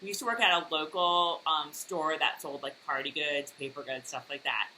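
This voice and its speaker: A chatty woman recapping a TV episode in relaxed conversation, full of fillers and laughs. Her voice is bright and forward, with a faint steady background hum under it. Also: deep voice, moderate pace, low-quality recording. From United States. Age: adult, worked in high school and college years ago. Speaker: podcast co-host. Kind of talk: casual recap chat.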